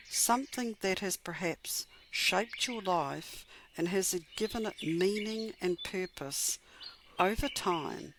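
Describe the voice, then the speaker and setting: A woman leads a guided meditation in a measured, gentle, soothing way, pausing often between phrases. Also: fairly low pitch. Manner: measured, gentle, soothing delivery with long pauses. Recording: clear background noise with birdsong. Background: birdsong and chirping.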